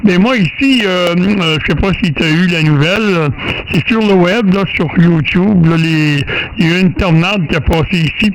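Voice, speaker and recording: A man with a Quebec French accent chatting casually as a radio operator, folksy and halting, often repeating words. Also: high-pitched, rough recording. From Quebec, Canada. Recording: faint radio noise.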